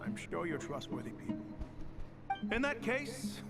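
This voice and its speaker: A proud and greedy desert chieftain for a video game. His fairly high voice swings widely in pitch with blunt, menacing swagger, over loud music. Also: wildly swooping pitch, measured pace, creaky, exaggerated voice. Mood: jovial, expansive. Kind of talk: scripted video game cutscene acting. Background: loud game soundtrack music.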